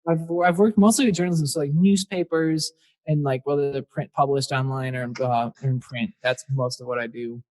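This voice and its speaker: A man's voice: medium pitch, fairly even intonation, normal speed, a little mellow. A young man, a singer-songwriter guest, chatting casually in a podcast interview and rambling with fillers. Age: young adult.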